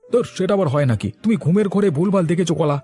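A man plays a blustery, easily startled village husband for a children's cartoon. He grumbles and exclaims in lively bursts over background music. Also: high voice, quick pace. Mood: grumbling. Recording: music behind the voice.

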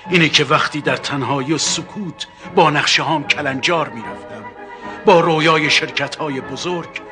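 A man's voice: on the high side, music in the background, lightly acted. An actor playing a proud, intense, brooding middle-aged man in a declamatory, emphatic televised stage drama style. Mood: grandiose, brooding.

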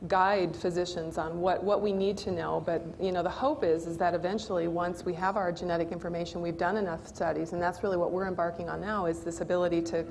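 A woman's voice: on the low side, fairly even intonation, quick pace, hardly any pauses, darkish tone, a little hiss or hum. A woman in her forties with an American accent, explaining informally with everyday examples in a conversational panel discussion. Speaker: panelist, company executive. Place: indoor panel stage in a function room. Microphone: lapel.

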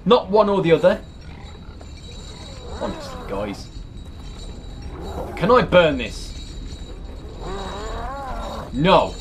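Animated British man, sweary gamer. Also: fairly high pitch. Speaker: let's player. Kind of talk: casual reaction commentary over a horror game. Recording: clear background noise and game ambience.

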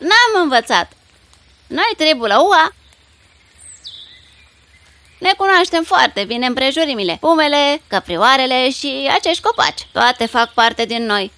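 Woman voicing a bright, high-pitched cartoon girl. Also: expressive, long pauses, faint music behind, full character voice. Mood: friendly. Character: caring, expressive village girls in a fairy tale. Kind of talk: dubbed cartoon fairy tale acting.

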